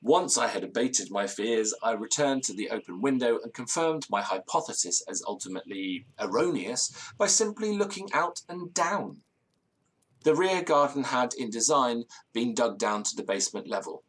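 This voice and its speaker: An actor playing an anxious, genteel British gentleman who recounts a ghost story in formal, ornate, theatrical phrases. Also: mid-range voice, expressive, moderate pace, natural voice, rough recording. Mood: fearful, suspenseful. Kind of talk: audio drama storytelling, period ghost tale.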